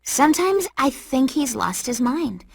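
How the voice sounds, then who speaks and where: A woman voicing an exasperated young female fish confiding in an animated video game. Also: sits high, a little slow, creaky, slightly rough, dry recording, full character voice.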